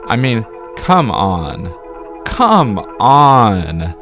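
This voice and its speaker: A man's voice: on the low side, big pitch swings, extremely slow. A man narrating a scripted video essay review as the channel's host, with emphatic, wordy phrasing that leans on repeated words. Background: background music.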